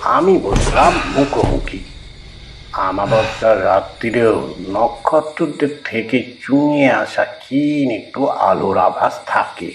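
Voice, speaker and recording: Slow-paced male storyteller with a creaky voice. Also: lowish voice, big pitch swings, slight background noise, poor audio. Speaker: narrator. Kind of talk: scripted first-person horror story narration.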